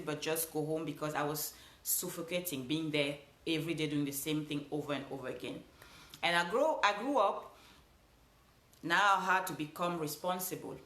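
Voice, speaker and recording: A woman's voice: fairly low pitch, fairly bright tone, echoey room. A woman with a Cameroonian accent giving an earnest motivational talk, repeating phrases for emphasis. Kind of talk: motivational speech.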